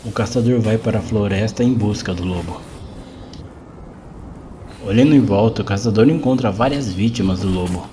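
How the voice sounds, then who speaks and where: A man's voice: lowish voice, normal speed, pauses often, noisy background. A man with a Brazilian accent narrating a scripted horror story.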